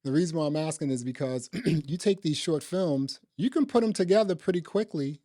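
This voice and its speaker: Male podcast host, soft mellow tone, dry close sound, casual review chat, conversational asides. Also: fairly high pitch, animated, brisk, no room sound.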